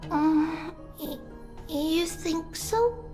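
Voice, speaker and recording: A woman voicing an innocent, eager little girl in a video game, with a small childlike voice and earnest, halting storytelling. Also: very high-pitched, warm tone, faint music behind, full character voice. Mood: uneasy, frightened.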